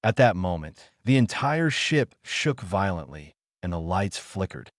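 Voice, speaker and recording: A man narrates a science fiction audio drama in a low voice. His intonation moves around a lot, and the sound is dry and close. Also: very animated, measured pace, dry recording. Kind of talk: scripted sci-fi audiodrama narration.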